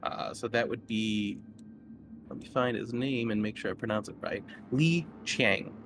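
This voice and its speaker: A man running a tabletop horror game as game master, setting the scene in measured narration. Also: on the low side, background noise.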